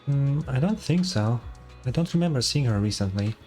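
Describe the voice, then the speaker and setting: A man voicing a helpful female fast-food stall worker in a video game. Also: medium pitch, lively intonation, dark tone, soft background music, close to an everyday voice. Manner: polite, customer-service helpful. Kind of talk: reading game dialogue aloud.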